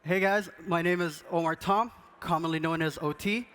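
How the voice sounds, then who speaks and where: High-voiced man giving an earnest stage talk. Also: moderate pace, a bit bright, clear, resonant tone. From Sudan. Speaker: podcast co-host speaking on stage. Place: event stage.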